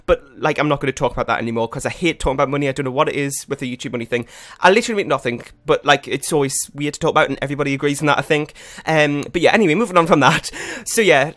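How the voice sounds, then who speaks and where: Young male YouTuber, croaky morning voice, fast and chatty, dry close sound. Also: on the high side, a bit bright, no echo. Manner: fast, rambling talk with many fillers. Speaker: game building YouTuber. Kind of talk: casual gameplay voiceover. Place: his bedroom at home.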